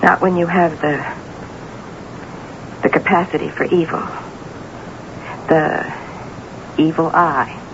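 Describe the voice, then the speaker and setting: An actress playing a cool, scheming, domineering mistress in a radio mystery drama, speaking deliberately and insistently. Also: female voice, low voice, fairly even intonation. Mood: dismissive, insistent. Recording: noisy, muffled old radio broadcast recording.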